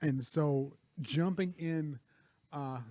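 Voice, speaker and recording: A man's voice: fairly high pitch, takes it slowly, mellow. A man co-hosting a casual movie talk show in a studio, eager and full of fillers and stumbling restarts. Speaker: co-host and camera operator. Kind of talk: casual podcast chat.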